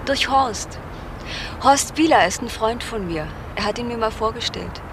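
A woman's voice: fairly high pitch, a little slow, natural voice. An actress playing a reserved young woman who gives terse answers in a German crime radio play. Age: young adult. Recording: noisy old radio drama recording.

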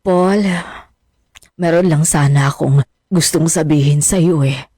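A woman's voice: deep voice, a little slow, dark tone, breathy edge, dry recording, studio quality. A woman acting a troubled, anxious middle-aged mother in a radio drama.

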